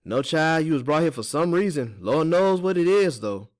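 Guy doing older enslaved woman in a storybook, higher pitched, gentle wise folksy herbalist. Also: sing-song, fairly quick. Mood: sympathetic.

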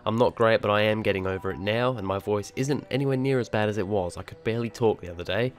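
A man's voice: lowish voice, quick pace. A young man giving a chatty, rambling spoken review, his voice a little nasal as he recovers from being sick. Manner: chatty, rambling, many ums and restarts. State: recovering from illness, slightly nasal. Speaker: video reviewer.

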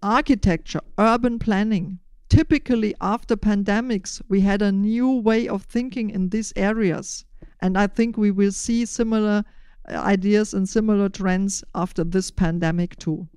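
An older woman explaining a podcast in measured, deliberate, prepared phrases. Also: medium pitch, steady tone, darkish tone, clear, resonant tone, dry recording, studio quality. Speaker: podcast host.

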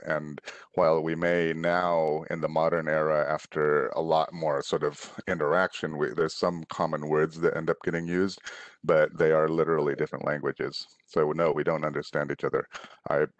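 A middle-aged man from Indonesia, an author on a video call, answering children's questions in a relaxed, rambling way with many restarts. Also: extremely low pitch, no echo, muffled recording. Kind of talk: casual conversational answers. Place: room at home, on a video call. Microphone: headset.

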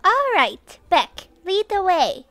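A woman's voice: very high voice, big pitch swings, slow pace, pushed and bright, dry recording, heavily acted. A woman voicing a small, earnest little girl in a cartoon dub, childlike and blunt. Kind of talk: animated web comic dub acting.

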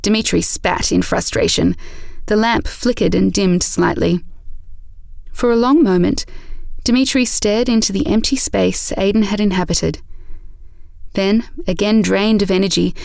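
A woman narrates a scripted audio drama. Her mid-pitched voice has a noticeable creak. The recording is very dry, with no room sound. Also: darkish tone, no room sound.

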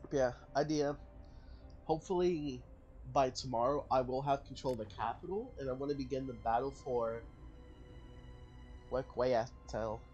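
A man's voice: mid-range voice, moderate pace, long pauses, a little mellow, dull audio. Rambling man over faint music. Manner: rambling, thinks aloud, self-correcting. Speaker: gaming channel host. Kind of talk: casual let's-play commentary.

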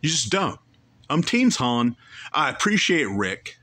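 A man's voice: medium pitch, big pitch swings, unhurried, pushed and bright. A man hosting a podcast, giving rambling, opinionated commentary on a comedy show clip and correcting himself as he goes. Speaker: podcast host reacting to a clip. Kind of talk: casual commentary.

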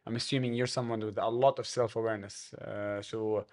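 A man's voice: lowish voice, level tone, a little fast, darkish tone. A man in his thirties co-hosts an interview at a studio microphone. His questions run long, with repeated words and restarts as he works toward his point. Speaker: podcast co-host. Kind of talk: casual interview talk. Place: podcast studio. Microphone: studio mic on stand.

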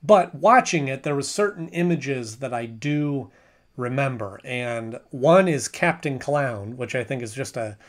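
A man's voice: mid-range voice, lively intonation, unhurried. Casual man reviewing to camera. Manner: conversational, with frequent restarts and hedging. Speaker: show reviewer. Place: small room at home with shelves of collectibles.

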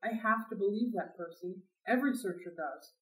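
A woman voicing an earnest young male satyr, speaking slowly and plaintively, recorded in a roomy space from a distance. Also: mid-range voice, a bit bright, lightly acted. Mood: sad. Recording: roomy sound, mic at a distance.

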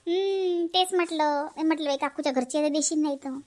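A woman's voice: sits high, a little fast, a little mellow, lightly acted. A woman voicing a touchy, possessive middle-aged village aunt in a cartoon family comedy, nagging and repeating herself with a Varhadi Marathi accent. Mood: boastful, fussy. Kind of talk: amateur animated family comedy acting.

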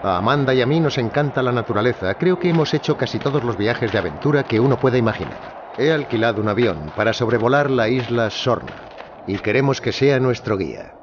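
A man's voice: medium pitch, lively intonation, fairly quick, close to an everyday voice. A man playing an eager, talkative middle-aged businessman in a game dub, polite and persuasive. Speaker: voice actor. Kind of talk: Spanish game dub of film dialogue. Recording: dull game audio, clear noise behind.

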